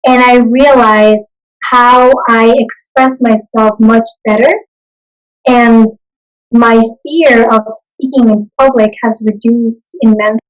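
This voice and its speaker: A young woman from Bolivia, a native Spanish speaker, answering interview questions in an earnest, measured way. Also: fairly high pitch, fairly even intonation, low-quality recording. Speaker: interview guest, consultant.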